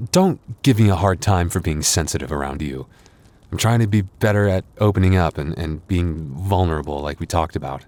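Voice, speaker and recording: A man playing a wounded, tender and protective werewolf boyfriend in an intimate ASMR roleplay, speaking in a halting, softly earnest, weary way. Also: sits low, natural voice. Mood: sheepish, flustered. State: weary, hurting.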